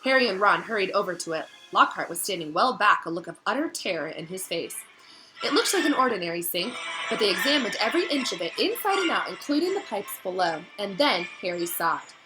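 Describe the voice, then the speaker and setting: A woman narrating a novel aloud in an expressive, dramatic storytelling style that builds suspense. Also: mid-range voice, fairly quick, music in the background, low-quality recording.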